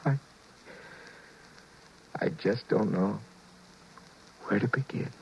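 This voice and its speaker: An actor playing a guilty, sheepish husband in a 1950s radio drama, halting and earnest as he confesses and narrates. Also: on the low side, gravelly, a little mellow, slight background noise. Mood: astonished, relieved. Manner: halting, earnest, stammering in dialogue. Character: guilty, sheepish husband narrating his own marital misadventure. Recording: dull 1950s radio recording.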